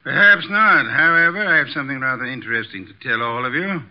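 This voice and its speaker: An actor playing an imperious, erudite armchair detective with formal, deliberate diction, in 1950s radio drama style. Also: male voice, medium pitch, lightly acted. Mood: formal, commanding. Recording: dull vintage 1950s radio recording.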